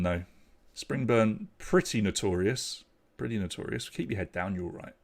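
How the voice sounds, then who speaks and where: An English man running an online pub quiz with a bouncy, sing-song delivery that swoops up and down. His voice sits in an ordinary middle register. He chats and jokes with the players between questions. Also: mid-range voice. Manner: chatty, jokey banter with players between questions. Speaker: quiz host.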